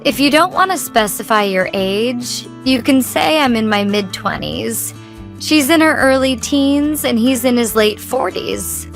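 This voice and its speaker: A woman's voice: on the high side, lively intonation, measured pace, creaky. Woman explaining English basics, guitar behind. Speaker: co-host. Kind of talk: scripted English lesson dialogue. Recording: soft guitar music behind.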